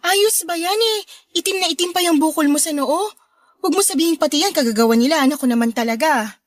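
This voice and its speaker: A woman with a Filipino accent playing a protective, caring older sister and young mother in a radio drama, concerned and gently scolding. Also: high voice, quick pace, no room sound, clean studio sound, lightly acted. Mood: indignant. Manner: concerned, scolding on brother's behalf. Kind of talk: Tagalog radio drama acting.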